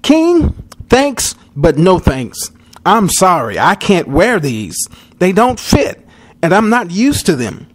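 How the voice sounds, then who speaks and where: A brave teenage shepherd boy from a storybook, acted out by a man. The voice sits high and moves in animated leaps. He speaks earnestly and with defiance. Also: slow pace, heavily acted. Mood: awkward, apologetic.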